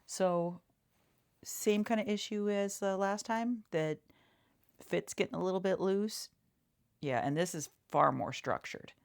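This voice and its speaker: A woman speaking softly and casually in an ASMR roleplay as a seamstress, halting and often repeating small reassurances in a murmur. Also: medium pitch, vocal fry, clean tone. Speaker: ASMR creator playing a seamstress. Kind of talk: soft-spoken ASMR roleplay.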